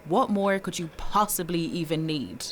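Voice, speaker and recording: A woman's voice: lowish voice, normal speed, slight background noise, lightly acted. An actress playing a bitter, exasperated female witness in an audio drama, blunt and indignant. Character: bitter, exasperated woman giving a witness statement.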